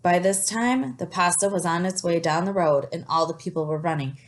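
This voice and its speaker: A woman reading a picture book aloud in an expressive storytelling style, stopping to put questions to young listeners and explain things. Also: fairly low pitch, fairly quick.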